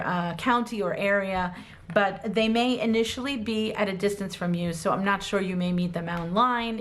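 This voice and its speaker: A woman giving a casual tarot reading to her viewers, gushing and enthusiastic, her voice slightly hoarse, with many ums and restarts. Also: mid-range voice. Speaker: tarot reader.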